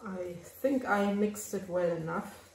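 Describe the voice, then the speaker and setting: A German woman with a German accent speaks slowly and gently, her voice low and mellow. She narrates her crafting in a hesitant way and stops now and then to search for an English word. The voice sits a bit away from the mic in a roomy space. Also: vocal fry, recorded from a distance, a little hiss or hum. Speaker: resin art crafter.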